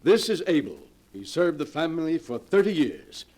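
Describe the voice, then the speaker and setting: Cordial higher-voiced middle-aged father. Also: sing-song, moderate pace, a little mellow. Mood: welcoming. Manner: cordial, genteel. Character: warm, courteous middle-aged father welcoming his daughter. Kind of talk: 1940s radio acting. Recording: old 1940s radio drama recording.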